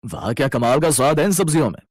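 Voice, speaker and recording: A man playing a husband in a family story, speaking in an animated, emphatic way. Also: fairly high pitch, fast, no echo, studio quality. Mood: delighted. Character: male in-laws, expressive husband in family story.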